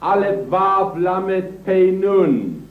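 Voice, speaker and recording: A man teaching a class, briskly dictating letters for students to read back, recorded in a classroom. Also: sits high, expressive, soft background music. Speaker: language teacher. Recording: echoey lo-fi old film sound, from far off.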